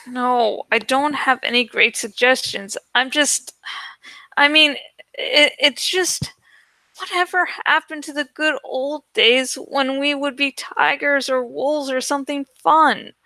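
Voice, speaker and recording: An exasperated teenage boy from a storybook, voiced by a woman. The voice is high and close in a dry space. He complains haltingly, as if dragged into things. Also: fairly even intonation, pushed and bright, dry recording, exaggerated voice. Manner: halting, plaintive.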